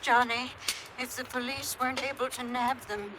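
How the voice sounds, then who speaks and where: An actress playing an anxious, admiring elderly spinster in a 1950s radio drama. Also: female voice, on the high side, fairly even intonation, moderate pace, lightly acted. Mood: gushing, confiding. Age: older. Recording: noisy old radio recording.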